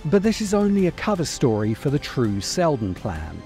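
A middle-aged man delivering a scripted video essay in measured, emphatic, dramatic phrases, close to the microphone. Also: fairly high pitch, wildly swooping pitch, mellow. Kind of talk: scripted narration, long-form commentary. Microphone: desk microphone close in front. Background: background music.

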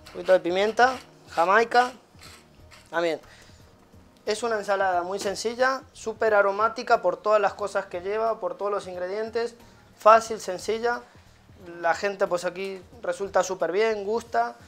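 Male chef, thirties, casual halting kitchen demo over light music. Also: high-pitched, fairly bright tone. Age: 30s. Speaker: chef demonstrating a recipe. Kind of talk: casual cooking demonstration. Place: small tiled restaurant kitchen.

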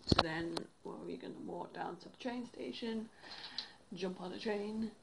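A woman's voice: on the low side, measured pace, gravelly, heavy background noise. A young woman from England chatting casually to a phone camera held at arm's length, rambling with lots of fillers. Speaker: vlogger. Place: bedroom, then indoors at home.

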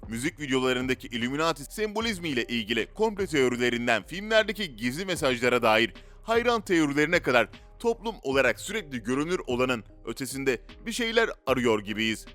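A man's voice: mid-range voice, sing-song, quick pace, few pauses, a bit bright. A man reads scripted narration in a dramatic, rhetorical storytelling way. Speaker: narrator of a list video. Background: background music.

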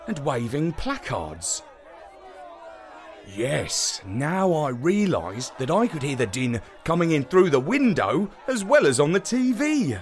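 Man as a slow, very animated storybook dog. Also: on the high side, heavily acted. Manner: expressive, wry storytelling. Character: good-natured male space-dog telling his own tale. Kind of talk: scripted children's bedtime narration.

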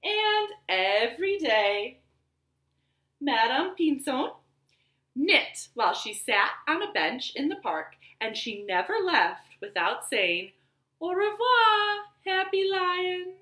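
A woman in her thirties leading children's storytime in an animated, sing-song manner from a living room. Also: fairly high pitch, slow pace. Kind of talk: children's storytime, rhymes and read-aloud story. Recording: roomy home webcam sound.

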